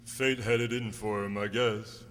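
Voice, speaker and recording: A man's voice: fairly low pitch, flat delivery, unhurried, a bit bright. A man playing a folksy, somber undertaker in an amateur radio drama. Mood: somber, chatty. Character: slow, folksy, somber male funeral undertaker. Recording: reverberant hall, faint noise and hum.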